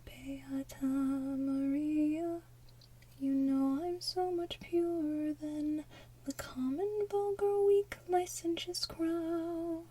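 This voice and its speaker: A woman doing ASMR, singing and speaking in a soft, breathy, hushed whisper with a gentle delivery. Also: sits high, steady tone, extremely slow. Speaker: ASMR channel host. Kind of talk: ASMR soft singing of animated film villain songs.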